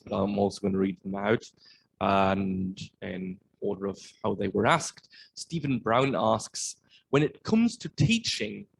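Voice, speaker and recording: A man's voice: lowish voice, vocal fry, rough recording. Male moderator reading questions, faint noise behind. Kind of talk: reading questions aloud at an academic talk.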